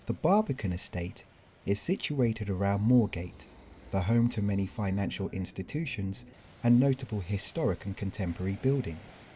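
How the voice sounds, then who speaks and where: A man narrating a documentary in a measured, scripted way. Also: on the low side, warm tone. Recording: faint background noise.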